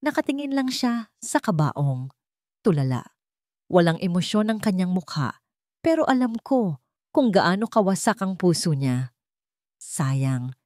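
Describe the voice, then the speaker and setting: A woman with a Filipino accent narrates a dramatic audiobook. Her voice sits on the low side for a woman, and the sound is dry and close. She reads in the first person as a scripted story. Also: very animated, unhurried, pauses often, a little mellow, no echo.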